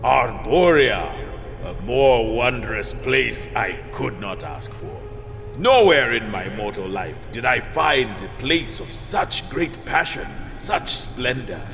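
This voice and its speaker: Cavernous reverb, male actor as friendly ghost of a man in his prime, lilting, unhurried, courteous, poetic, music underneath, audio drama. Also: medium pitch, big pitch swings, gravelly, fairly bright tone, exaggerated voice. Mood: wistful, rapturous. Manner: courteous, wistful, grandly poetic phrasing. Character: friendly spirit of a handsome well-traveled man in his prime.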